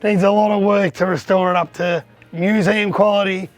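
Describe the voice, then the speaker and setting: Hoarse man, voice nearly gone. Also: sits high, level tone, moderate pace, no echo. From Australia. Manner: chatty, upbeat, pointing things out. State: lost voice, hoarse. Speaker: vlogger, arcade collector. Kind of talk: casual vlog tour.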